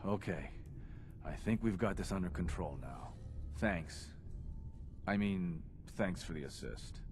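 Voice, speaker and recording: An actor playing an earnest agent in a video game, a calm and persuasive recruiter who speaks in a measured, sincere way. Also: male voice, deep voice, a little hiss or hum. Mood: grateful.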